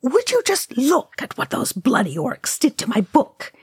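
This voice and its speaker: A woman's voice: sits low, very animated, moderate pace, fairly bright tone, husky, no room sound, clean studio sound, full character voice. A woman voicing an indignant, bookish male dwarf ghost, fussy and scolding, in a storybook reading.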